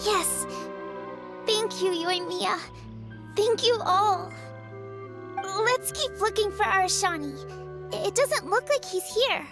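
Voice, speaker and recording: A woman voicing a gentle, wistful little girl in a video game, speaking earnestly in a soft, childlike voice. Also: very high-pitched, slow pace, long pauses, music in the background, full character voice.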